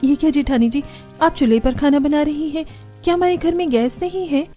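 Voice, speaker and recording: A woman narrator puts on a young, well-off bride, devoted and dramatic. The voice is high and earnest, in cartoon melodrama style. Faint music sits behind it. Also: brisk, dark tone, natural voice. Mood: surprised, willing.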